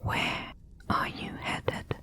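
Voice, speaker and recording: A woman's voice: extremely slow, bright, forceful tone. A woman voicing a wary, territorial giant spider guard in an ASMR roleplay, speaking in a stern, clipped whisper.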